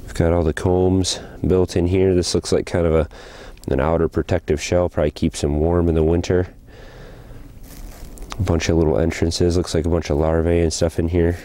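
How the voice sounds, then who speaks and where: A man casually narrating as he cleans out an old hive outdoors, thinking aloud with small pauses. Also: sits low, warm tone. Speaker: hobby beekeeper and channel host. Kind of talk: casual narration while working. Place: outdoors beside a wooden beehive.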